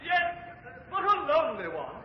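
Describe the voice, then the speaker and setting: An actor playing a silly, foppish lord in a stage comedy, halting and affected, on a noisy old radio recording. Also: male voice, very high voice, wildly swooping pitch, roomy sound, lo-fi sound, exaggerated voice. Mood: silly, pleased. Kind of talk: 1940s radio acting of a stage farce.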